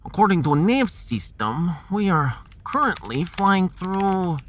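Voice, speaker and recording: A woman's voice: fairly low pitch, very animated, unhurried, a little hiss or hum. A woman playing a pragmatic, sardonic starship science officer in a sci-fi audio drama, with a wry, analytical manner. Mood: awed, grave.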